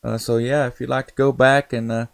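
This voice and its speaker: Gravelly ham radio man. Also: mid-range voice, flat delivery, a little fast. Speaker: amateur radio operator and live streamer. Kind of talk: casual ham radio chat.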